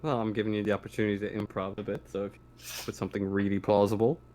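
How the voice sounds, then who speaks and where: A man acting as game master, explaining a tabletop roleplaying game in a halting, stammering way. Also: on the low side, flat delivery, darkish tone.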